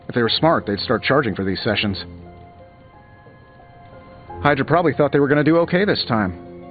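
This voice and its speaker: A man's voice: mid-range voice, narrow, tinny audio, close to an everyday voice. A man playing a cocky, wisecracking genius inventor superhero in a video game, with glib, sarcastic banter. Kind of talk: video game dialogue acting.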